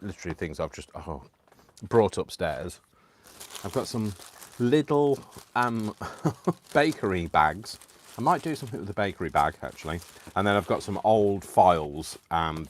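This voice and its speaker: A British man hosting a live crafting stream, rambling casually to viewers with lots of ums, small laughs and self-deprecating asides. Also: low voice. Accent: British English. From United Kingdom. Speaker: crafting livestream host.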